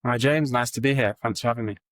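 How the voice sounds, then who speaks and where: A man, a recruitment founder answering interview questions over a video call in a relaxed, steady way with frequent ums. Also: medium pitch, fast talker, dry recording. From England.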